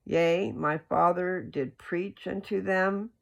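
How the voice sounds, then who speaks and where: A person with a creaky, gravelly voice reads and explains scripture in an informal, folksy, rambling way, often checking in with the listener. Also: medium pitch, slow, lo-fi sound. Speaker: host reading scripture aloud and explaining it. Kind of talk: scripture read aloud with casual, rambling commentary.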